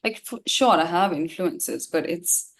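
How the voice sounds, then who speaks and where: Young female interview guest, slightly low register, informal and reflective delivery. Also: unhurried. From India. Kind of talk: casual, reflective interview chat.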